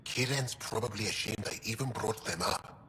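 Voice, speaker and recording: A man's voice: on the low side, normal speed, pushed and bright, slight background noise. An actor playing an earnest, thoughtful male alien scientist in a video game, explaining things a little hesitantly. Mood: hesitant, confiding. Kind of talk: video game dialogue acting. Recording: long reverb, music underneath.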